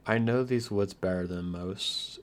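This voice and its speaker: A man playing a shy, lonely, youthful vampire lord in an ASMR roleplay, stammering earnestly with hesitant, softly formal manners. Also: lowish voice, a little slow, close to an everyday voice. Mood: grateful, flustered. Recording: clear background hiss and noise.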